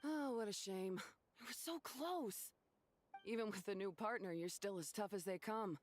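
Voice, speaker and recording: A spirited young female competitor from a video game, acted by a woman. Her medium-pitched voice is frank and encouraging, with a sporting, gracious temper. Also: normal speed, lightly acted. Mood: rueful.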